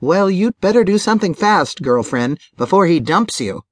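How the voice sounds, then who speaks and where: Audiobook role: crude, gossipy best friend, female, acted by a woman narrator. Lower register, animated, brash, scolding. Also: normal speed, no room sound, clean studio sound. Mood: scornful, urging. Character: blunt, gossipy, crude middle-aged girlfriend confidante.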